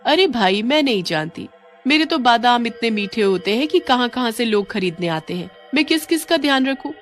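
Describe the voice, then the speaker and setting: A woman's voice: a little high, expressive, moderate pace, soft background music, pitched above the performer's own voice, lightly acted. A woman voicing a menacing, gloating witch who sells almonds and hunts people in a cartoon horror story. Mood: irritated, evasive.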